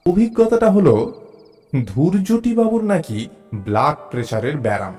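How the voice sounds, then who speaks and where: An actor narrating a suspense audio story as a curious, mild, observant traveler, in an expressive storytelling manner. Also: male voice, a little high. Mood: amused, wry. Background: background music.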